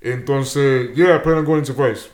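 A man's voice: medium pitch, moderate pace, echoey room, crowd noise. A young man with Dominican and Peruvian roots from New Jersey, talking energetically in a casual interview and dropping Spanish words into his slangy speech. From Dominican and Peruvian heritage, New Jersey. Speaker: guest, show creator.

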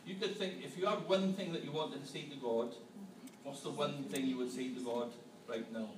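A man's voice: medium pitch, normal speed. Playful male preacher quizzing his church, distant mic, noisy room. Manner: playful, quizzing the congregation with questions. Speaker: church leader leading a family service. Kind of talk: interactive family sermon. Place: church hall. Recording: echoey hall, mic from afar, room noise.

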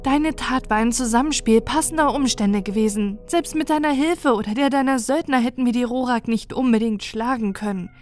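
A woman's voice: fairly quick, few pauses, darkish tone, close to an everyday voice. A woman reading an audiobook, voicing a companion in a raised, insistent, reasoning tone. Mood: earnest. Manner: raised pitch, insistent and lecturing.

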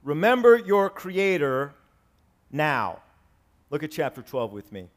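40s guy pastor preaching, kinda higher voice, gets loud then drops to chatty asides. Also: sing-song, slow. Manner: swings from loud preaching to soft asides, conversational. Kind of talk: sermon. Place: church sanctuary with congregation.

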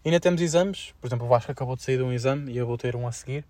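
A young man with a European Portuguese accent chatting informally as a podcast co-host, with slangy, halting delivery. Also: mid-range voice, a little mellow, no echo. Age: young adult. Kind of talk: casual student podcast chat.

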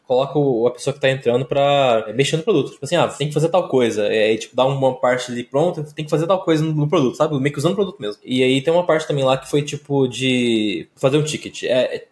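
A man's voice: mid-range voice, quick pace, few pauses, darkish tone, roomy sound. A young man from the interior of Goiás, Brazil, with a local accent, chatting casually and telling stories in a slangy, rambling way. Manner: informal, slangy, rambling with many verbal tics. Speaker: podcast guest, software engineer. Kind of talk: casual chat, storytelling.

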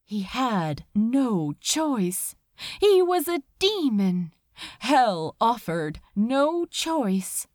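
A woman voicing an anguished, lovelorn male demon in a storybook, halting and bitterly exasperated. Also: on the high side, sing-song, a little mellow, no room sound, clean studio sound, exaggerated voice. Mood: desperate.

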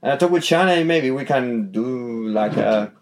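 Casual podcast guest: man from Chile, halting and thoughtful, mid-pitched. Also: animated. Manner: hesitant, restarts often, searches for words.

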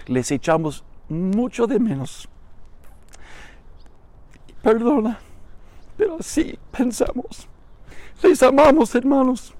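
A man's voice: sits high, warm tone, dry recording. An older man, a pastor, with a Chilean Spanish accent mixed with Mexican, preaching emphatically to his congregation outdoors with rhetorical repetition. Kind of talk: recorded sermon greeting to a congregation. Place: outdoors on a vacant lot.

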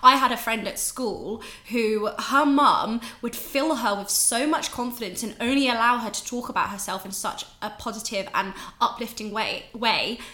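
A young English woman with a bright, forceful, fairly high voice chats openly as a podcast guest. She's candid and swears freely. The room is noticeably echoey. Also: normal speed, few pauses. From England, raised in Ireland. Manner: chatty, candid, swears, laughs often. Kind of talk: casual podcast chat.

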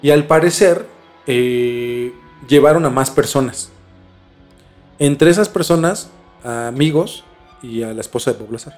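A man's voice: medium pitch, measured pace, a little mellow, soft background music. A man with a Mexican accent explaining things in casual conversation as a podcast co-host, informally and repeating words for emphasis.

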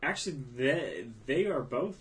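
A man co-hosting a casual movie review podcast, relaxed and agreeable, often chuckling. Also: fairly high pitch, a little slow. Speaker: podcast co-host.

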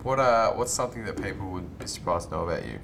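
A man's voice: animated, fast, gravelly, fairly bright tone. A man with an Australian accent hosting a casual chat in a laid-back, colloquial way. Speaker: podcast host. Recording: noisy recording with low rumble.

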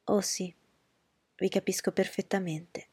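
A woman's voice: mid-range voice, fairly even intonation, a little slow, darkish tone, husky, no echo. A woman reading as a witty young woman.

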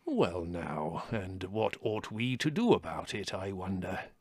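A man's voice: deep voice, expressive, measured pace, darkish tone, husky, no room sound, heavily acted. A man voicing an aging chief rabbit in a storybook, drawling, over-polite, detached and condescending. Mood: mildly condescending. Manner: drawling, over-polite, unruffled, with musing repetitions.

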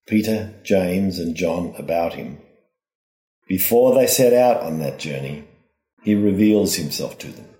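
A man speaking as a priest, delivering a formal, measured homily in deliberate phrases. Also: sits low, a little mellow. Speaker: priest giving a reflection. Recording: roomy, echoing sound.

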